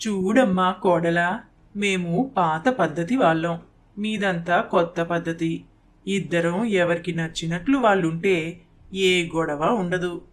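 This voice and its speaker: A woman's voice: fairly low pitch, takes it slowly. A woman voicing an elderly, traditional mother-in-law in a cartoon story, resigned and mildly complaining. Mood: firm, conciliatory.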